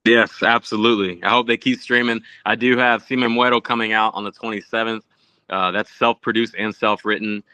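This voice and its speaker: A man's voice: fairly low pitch, steady tone, brisk, pushed and bright, smooth, no echo. A man from Puerto Rico, a musician, chatting casually and earnestly as a podcast guest. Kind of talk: casual interview chat.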